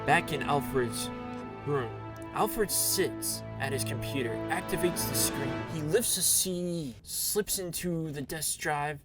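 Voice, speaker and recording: A man's voice: on the high side, takes it slowly. A man narrating an audio drama with a campy, dramatic announcer delivery in the style of a 1960s serial. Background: music.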